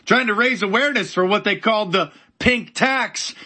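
Man, sarcastic commentator, a bit hoarse, husky and sharp-edged. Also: high-pitched, normal speed. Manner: mocking, sarcastic. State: slightly hoarse from a cold. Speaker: political commentator.

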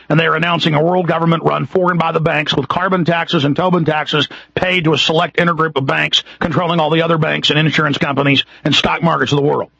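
A man's voice: fairly high pitch, gravelly, sharp tone, breathy edge, no room sound. A man with a Texas accent hosting a radio talk show, ranting emphatically and piling up repeated points. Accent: Texan. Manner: emphatic, repetitive, building intensity. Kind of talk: impassioned talk radio monologue. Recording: muffled radio broadcast.

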